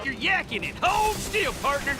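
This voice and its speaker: Folksy, high-voiced cartoon cowboy. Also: male voice, very animated, moderate pace. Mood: wry. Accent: American Western drawl. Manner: folksy, colloquial. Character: plucky, folksy Old West cowboy lawman. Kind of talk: animated game voice acting. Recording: loud music behind. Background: loud music.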